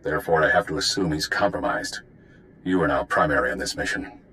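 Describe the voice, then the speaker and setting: An actor playing a sober spy commander in a video game, giving orders in a clipped, official manner. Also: male voice, a bass voice, animated, brisk, sharp tone, exaggerated voice. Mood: grave, businesslike. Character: sober, authoritative male spy base commander. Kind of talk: video game cutscene acting.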